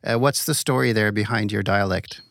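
A man hosting a podcast interview, measured in tone and a little halting when asking questions. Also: fairly low pitch, gravelly, a bit bright, no echo, clean studio sound. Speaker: podcast host.

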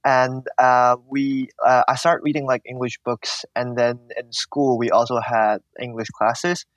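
A man's voice: medium pitch, little pitch movement, measured pace, no room sound, highs cut off. A young man from Hong Kong with a Hong Kong accent, answering interview questions with lots of "uh" and "like". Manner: hesitant, many fillers like "uh" and "like". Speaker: guest.

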